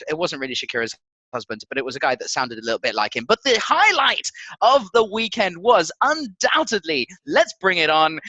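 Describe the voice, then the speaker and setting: A man hosting a football podcast with casual, excitable, jokey banter and a halting delivery. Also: high-pitched, dry recording, low-quality recording. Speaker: podcast host.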